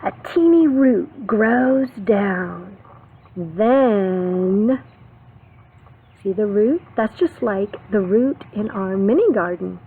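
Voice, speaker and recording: A woman preschool teacher reading a picture book aloud to young children, expressive, with simple explanations and questions. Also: medium pitch, very slow, breathy edge. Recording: phone-like narrow band, faint background noise.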